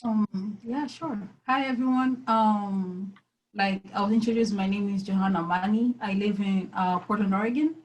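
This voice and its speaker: A woman's voice: medium pitch, steady tone, normal speed, rough recording. Young Congolese-born woman, searching phrasing. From Democratic Republic of the Congo. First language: French. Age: early 20s. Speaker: panelist, university student. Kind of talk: personal account in an online panel discussion.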